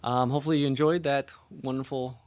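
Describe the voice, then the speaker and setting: Male podcast host, average pitch, informal and chatty, unscripted delivery. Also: steady tone, fast talker, darkish tone. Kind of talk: casual, unscripted podcast chat.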